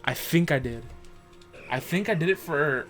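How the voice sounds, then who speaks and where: A man's voice: a little high, animated, normal speed, fairly bright tone, soft background music. A young man co-hosting a podcast in casual chat, rambling and crude, often correcting himself mid-sentence. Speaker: podcast co-host.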